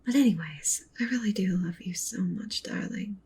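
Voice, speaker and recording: A woman playing a doting, possessive wolf witch girlfriend in an ASMR roleplay, speaking softly and breathily, often in a whisper. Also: medium pitch, normal speed, slightly rough. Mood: lovestruck, doting. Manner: cooing, doting, with possessive menace. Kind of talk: ASMR roleplay, intimate soft speech and whispering.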